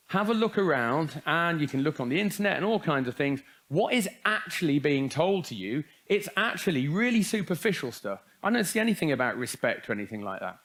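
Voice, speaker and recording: A man giving a humorous talk at a youth conference, full of wry jokes and rhetorical questions. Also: a little high. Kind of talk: humorous talk to young people.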